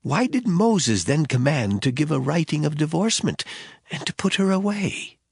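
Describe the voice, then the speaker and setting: Sly Pharisee for scripture drama, highish gravelly husky voice, swinging intonation, testing his opponent. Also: big pitch swings, normal speed, no room sound, studio quality, full character voice. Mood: challenging. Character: male Pharisee, sly challenger testing a teacher.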